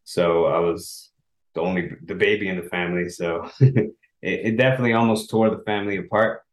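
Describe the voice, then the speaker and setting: Deep-voiced man in an echoey room. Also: fairly even intonation. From El Salvador. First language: Spanish. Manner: earnest, halting with restarts and small laughs. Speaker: guest, author. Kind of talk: casual interview.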